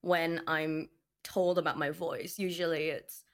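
A young woman with a low contralto voice, co-hosting a casual podcast chat, wry and quick to laugh. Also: little pitch movement, fairly bright tone. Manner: wry, laughs often, dry quips. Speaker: podcast co-host.

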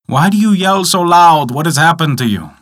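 A man voicing a very old, brusque but generous man in a storybook tale, loud and commanding. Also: fairly high pitch, lively intonation, moderate pace, pitched above the performer's own voice. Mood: brusque questioning.

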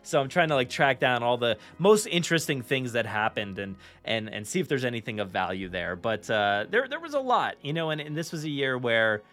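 Animated, chatty male podcast host. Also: medium pitch, brisk, few pauses. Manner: enthusiastic, chatty, informal. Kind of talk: casual podcast chat.

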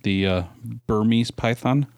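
A man's voice: fairly low pitch, measured pace, darkish tone, clean tone, no echo, studio quality. A man co-hosting a podcast in casual banter, chipping in with short remarks and questions. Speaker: podcast co-host.

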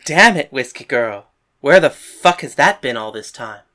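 Woman as young female fighter, cocky, teasing, lowered pitch, forceful tone, audiobook character. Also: expressive, measured pace, full character voice. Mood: taunting.

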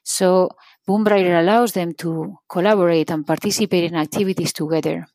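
A woman's voice: lowish voice, fairly even intonation, a little fast, darkish tone, dry recording. A middle-aged woman from Spain with a Spanish accent, a company CEO answering interview questions earnestly and restarting phrases often.

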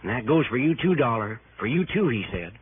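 A man's voice: mid-range voice, sing-song, brisk, breathy edge, phone-quality sound. Anxious, insistent radio-drama insurance man. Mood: grave, intense. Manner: emphatic, earnest, dramatic. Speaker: radio drama supporting actor. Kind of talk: 1960s radio drama acting. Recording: thin, faintly noisy old radio broadcast.

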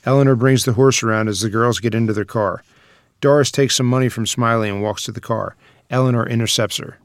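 A man's voice: mid-range voice, steady tone, brisk, no room sound. Man narrating a script, very dry sound. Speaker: narrator reading stage directions.

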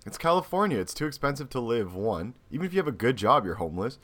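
A man's voice: mid-range voice, very animated, fast, a bit bright. A man co-hosting a casual podcast, joking bluntly and crudely. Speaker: podcast co-host. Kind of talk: casual chat.

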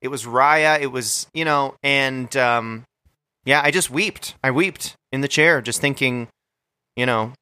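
A man co-hosting a comedy podcast, telling absurd stories in a mock-macho, deadpan way. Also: mid-range voice, unhurried, clear, resonant tone. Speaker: podcast co-host.

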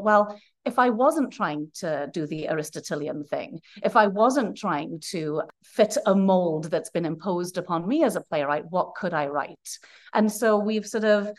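A woman from Wales, a playwright giving long, earnest, rambling answers in a podcast interview. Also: fairly low pitch. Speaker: playwright guest.

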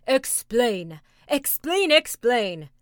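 Lady doing a bossy cave chief yelling, puffed up and loud. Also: a little high, very animated, drawn-out words, no room sound, studio quality, full character voice. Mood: demanding. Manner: loud, demanding, bellowing. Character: pompous shouting cave tribe chief.

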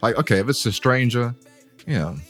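A man's voice: mid-range voice, expressive, a little fast, fairly bright tone, soft background music. A man co-hosting a podcast, bantering casually and teasing with laid-back slang. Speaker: podcast co-host.

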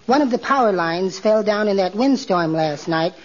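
An actor playing a meek, timid, henpecked husband in a mild, overly polite way, in 1940s radio comedy style. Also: male voice, high-pitched, animated, normal speed. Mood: meekly resigned. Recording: muffled 1940s radio recording, studio audience.

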